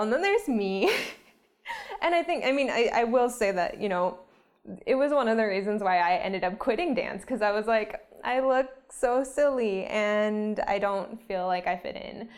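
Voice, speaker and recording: A woman hosting a podcast who shares a personal memory in a reflective, self-deprecating way. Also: mid-range voice. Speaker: podcast host. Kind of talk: conversational podcast chat.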